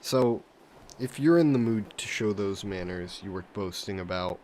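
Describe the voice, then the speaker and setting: A man voicing a vain sea monster who poses as a mannered gentleman in a roleplay audio drama, speaking in suave, formal phrases. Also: lowish voice, normal speed, a little hiss or hum, lightly acted. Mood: guarded, polite. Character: flirty, vain sea monster posing as a gentleman traveler, turning menacing.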